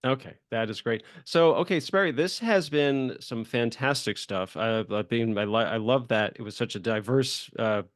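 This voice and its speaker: A man hosts a casual interview in a medium-pitched voice, recorded very dry. He talks in a chatty, loosely rambling way, often affirming points as he moves along. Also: moderate pace, few pauses, dry recording. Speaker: podcast host and screenwriter. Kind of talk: casual conversational interview hosting.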